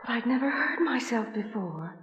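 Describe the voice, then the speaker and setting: A woman's voice: on the high side, big pitch swings, moderate pace, husky, lo-fi sound, full character voice. A woman playing a troubled young wife haunted by nightmares, earnest and hesitant, in a 1950s radio suspense drama. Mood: haunted, hushed. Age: young adult. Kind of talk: 1950s radio suspense acting and first-person narration.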